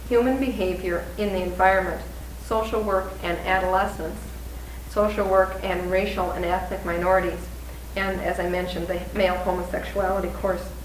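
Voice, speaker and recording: A woman introducing a guest speaker at a service, measured and with frequent ums. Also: mid-range voice, fairly even intonation. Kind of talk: reading a prepared introduction. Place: congregation hall. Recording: echoey room, distant mic, faint noise.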